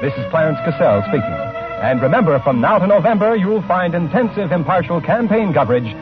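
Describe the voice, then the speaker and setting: A man's voice: on the high side, sing-song, a little fast. A man announcing on 1950s radio in a formal, polished, emphatic style. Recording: tinny vintage radio broadcast with music.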